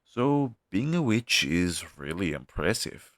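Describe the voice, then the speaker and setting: Male actor as crow demon, ex-familiar: lowish, unhurried, conversational, friendly but world-weary, for a listener-addressed audio roleplay. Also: big pitch swings, a bit bright, no room sound, studio quality, heavily acted. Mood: warm, encouraging. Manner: conversational, hesitant, with sighs and pauses. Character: down-on-his-luck male crow demon, former witch's familiar, wistful and friendly.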